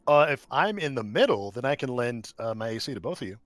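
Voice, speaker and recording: A man's voice: medium pitch, sing-song, fast, no room sound, faint music behind. A man chatting casually as a tabletop game player, hesitant as he thinks aloud.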